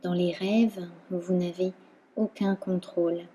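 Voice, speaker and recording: A woman instructor guiding a relaxation meditation in a calm, soothing manner, leaving long pauses between phrases. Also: lowish voice, husky. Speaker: yoga nidra instructor.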